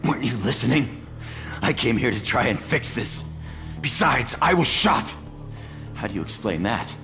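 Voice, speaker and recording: An actor playing a wounded, bitter male station technician with a gruff, suspicious manner in a video game. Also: medium pitch, expressive, normal speed, music in the background, natural voice. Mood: defensive.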